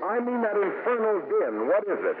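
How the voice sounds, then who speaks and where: An actor playing an urbane, bossy, easily exasperated homeowner in a 1950s radio drama. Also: male voice, sits high, big pitch swings, moderate pace, over loud music. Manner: indignant, commanding, clipped questions. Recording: muffled 1950s radio broadcast.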